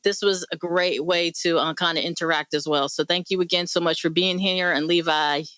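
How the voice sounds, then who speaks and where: A woman hosts a relaxed discussion in a bright, crisp-edged voice. She talks in an effusive, appreciative, conversational way. The sound is dry, with very little room around her. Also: lowish voice, fairly quick, no echo. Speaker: host of a discussion show. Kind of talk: casual chat, hosting.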